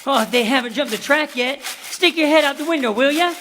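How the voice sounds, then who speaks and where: Brief: a woman doing a plucky country boy, high voice, folksy talk, echoey theater stage. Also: a little fast, a bit bright, slight background noise. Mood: nervous. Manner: folksy drawl with country grammar. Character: eager young frontier boy, plucky.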